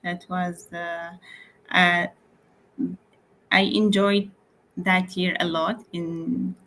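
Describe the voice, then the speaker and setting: A woman's voice: fairly low pitch, level tone, drawn-out words, bright, forceful tone, rough recording. A young woman raised in Malaysia, talking about her work as an interior architect in a casual interview over a video call, with many fillers and restarts. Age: young adult.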